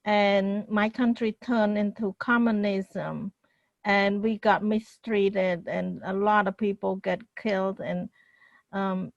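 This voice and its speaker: Middle-aged woman co-host, slow earnest talker, dry dull line. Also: medium pitch, no room sound. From Cambodia. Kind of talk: self-introduction on a show. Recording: dull lo-fi sound.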